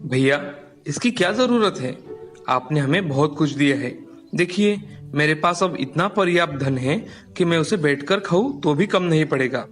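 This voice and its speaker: A man playing a trusting, good-natured farmer father in an expressive, earnest storybook style. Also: fairly high pitch, normal speed, faint music behind. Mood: earnest, gentle. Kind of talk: dramatized Hindi folk tale dialogue.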